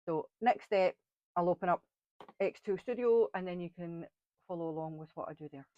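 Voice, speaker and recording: A woman's voice: lowish voice, normal speed, mellow, no room sound. Chatty Scottish woman, dull sound. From Scotland. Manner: chatty and blunt, with frank asides. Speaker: crafting tutorial host. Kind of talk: casual step-by-step tutorial.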